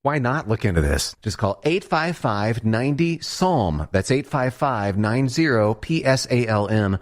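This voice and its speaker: A man's voice: medium pitch, lively intonation, measured pace. A male announcer reading a scripted radio advertisement in a conversational, persuasive style.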